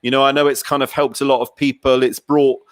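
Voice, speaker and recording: A man's voice: mid-range voice, level tone, quick pace, no room sound. A man hosting a casual interview, talking in an effusive, rambling way like a keen fan. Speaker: show host interviewer.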